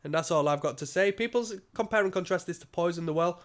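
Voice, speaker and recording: A man's voice: high-pitched, fast. A man with a cold, giving a rambling casual album review full of ums and restarts. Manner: rambling, many ums and restarts, occasional coughs. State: stuffy nose from a cold. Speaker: music reviewer.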